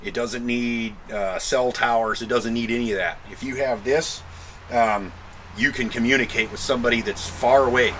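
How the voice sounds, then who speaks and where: American guy doing a casual vlog explainer, kinda halting, clear hum behind him, medium pitch. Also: fairly even intonation, fairly bright tone. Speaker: vlogger showing a truck modification.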